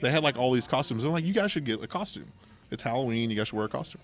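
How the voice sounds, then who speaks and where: A man's voice: medium pitch, expressive, rapid-fire. A man in casual, informal conversation, telling personal anecdotes as a podcast host and acting out bits of dialogue. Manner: informal, acts out quoted dialogue. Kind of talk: casual anecdotal storytelling chat.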